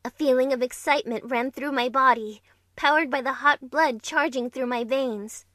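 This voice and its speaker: Woman voicing an anxious, high-voiced young heroine. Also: moderate pace, fairly bright tone, dry recording, clean studio sound. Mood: tense, heartfelt. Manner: earnest, dramatic storytelling. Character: young woman, emotional, anxious plane crash survivor. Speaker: narrator and lead character in an amateur fan dub. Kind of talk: scripted first-person dramatic narration. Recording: music playing behind the voice.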